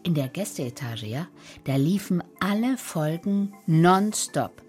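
Lively, sardonic old grandma, unhurried and low-voiced. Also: female voice, soft background music. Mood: exasperated. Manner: theatrical, teasing, emphatic. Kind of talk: children's radio play acting.

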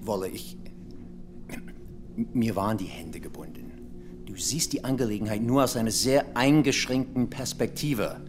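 An actor playing an evasive, self-justifying intelligence agent in a radio crime drama. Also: male voice, fairly low pitch, moderate pace, noisy background, natural voice. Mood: earnest, defensive.

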